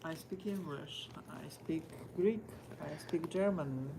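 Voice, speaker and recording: A woman from Canada working as library staff, answering a visitor casually and a little haltingly. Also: low-pitched, vocal fry. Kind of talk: casual helpful conversation. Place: inside a large public library. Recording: very noisy, picked up by streamer's microphone.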